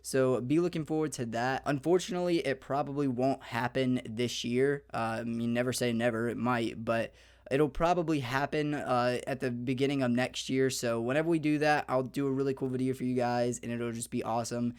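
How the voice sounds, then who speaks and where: A young man hosting a gaming channel, slightly hoarse, talking through a beginner's game guide in an upbeat, chatty way with many fillers. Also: medium pitch, fairly even intonation, brisk. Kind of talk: casual gaming guide talk.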